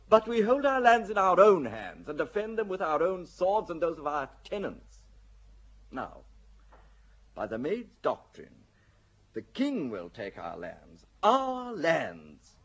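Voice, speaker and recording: Male actor as haughty English earl, husky, animated delivery, lo-fi radio play sound. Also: fairly high pitch, heavily acted. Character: proud English feudal earl, scheming.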